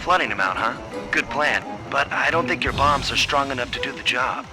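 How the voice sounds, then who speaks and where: A man voicing a gruff, big, loyal hippo tough guy in a cartoon video game. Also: mid-range voice, expressive, brisk, music in the background, lightly acted, poor audio. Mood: gruff, wary.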